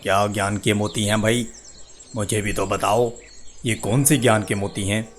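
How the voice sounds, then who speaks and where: A man's voice: lowish voice, steady tone, moderate pace, slight background noise, voice pushed down, lightly acted. A man voicing a poor, weary village labourer and husband in a cartoon story, speaking earnestly and plainly. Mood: curious. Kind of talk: Hindi cartoon story dialogue.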